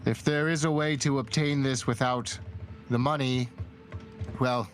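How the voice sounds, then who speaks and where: A man playing a dying middle-aged clergyman and mentor in a tabletop game, weary and earnest, speaking in a halting, grave, formal way. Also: medium pitch, fairly even intonation, close to an everyday voice. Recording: music behind the voice.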